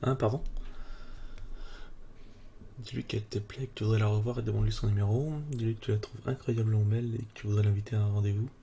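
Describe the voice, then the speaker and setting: Fast-talking, sarcastic man, dark low voice, joking commentary, faint background noise. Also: little pitch movement, creaky. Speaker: streamer reacting to a game. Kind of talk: mocking running commentary.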